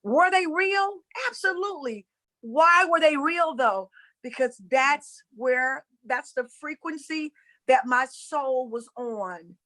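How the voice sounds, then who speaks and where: A woman's voice: high voice, lively intonation, slow pace, bright, forceful tone. A woman from North Carolina with a Southern accent, teaching about spirituality casually and earnestly, repeating phrases for emphasis. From North Carolina, USA.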